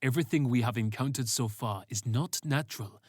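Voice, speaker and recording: A narrator reads a composed male fighter in a sci-fi audio story. He explains gravely and calmly, in a middle register with a very dry sound. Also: medium pitch, a little fast, no echo, close to an everyday voice. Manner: calm, explanatory.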